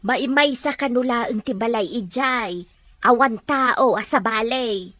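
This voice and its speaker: Woman acting a high-voiced, concerned older housemaid. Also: takes it slowly, a bit bright, no room sound. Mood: uneasy. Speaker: household helper. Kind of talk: Ilocano radio drama acting.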